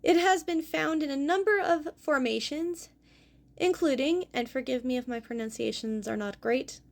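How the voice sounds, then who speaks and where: Woman, young adult, teacher, gravelly fry, clear explanatory pace, careful with hard names. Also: high voice, moderate pace. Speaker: paleontology teacher and host. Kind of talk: educational fossil presentation.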